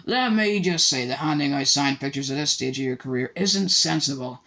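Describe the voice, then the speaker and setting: A man's voice: medium pitch, moderate pace, pushed and bright. A man reading an audiobook, voicing a vain, pompous and jovial grown-up teacher. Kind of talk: audiobook character voice.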